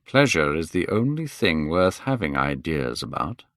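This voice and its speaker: A man voicing a worldly, cynical aristocrat with a slow, musical voice in a storybook reading. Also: rumbling low, big pitch swings, fairly bright tone, dry recording, studio quality, voice pushed down, exaggerated voice.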